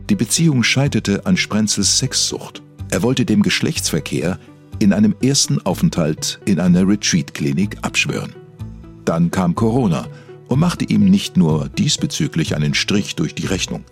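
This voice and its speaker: A man's voice: deep voice, gravelly. A man narrating a mock celebrity documentary as a solemn, scripted storyteller.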